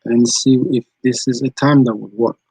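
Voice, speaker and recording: A man's voice: mid-range voice, steady tone, moderate pace, warm tone, slightly rough, no echo, poor audio. A man from Rwanda, hosting a live stream, chatting casually and answering viewers' comments as they come in. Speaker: channel host.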